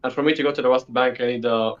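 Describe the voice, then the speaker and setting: A man's voice: mid-range voice, steady tone, racing. Teenage young man on a dull call. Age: teens, sixteen. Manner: informal, repeats himself for emphasis. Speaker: interview guest. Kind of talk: casual conversational interview.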